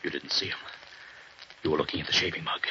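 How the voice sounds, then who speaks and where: Tense man in hiding, deep voice, urgent hushed orders. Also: steady tone, fast talker. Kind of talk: 1940s radio acting. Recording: dull old radio recording with faint hiss.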